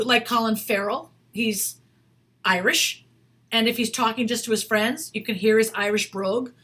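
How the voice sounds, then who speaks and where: A woman's voice: fairly high pitch, bright, forceful tone. A middle-aged woman, an acting career coach, giving casual, emphatic advice to camera. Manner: chatty, emphatic, reads a viewer's email aloud.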